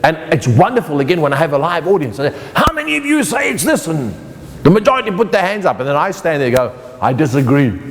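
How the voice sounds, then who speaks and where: A middle-aged man with a South African accent leads a motivational lesson. His voice rises and falls with animated swings. He talks straight to the listener, and the sound rings out in a long hall reverb. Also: fairly high pitch, fast talker, a little hiss or hum. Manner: lively, playful, speaks directly to the viewer. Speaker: motivational speaker and magician. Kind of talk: online course lecture.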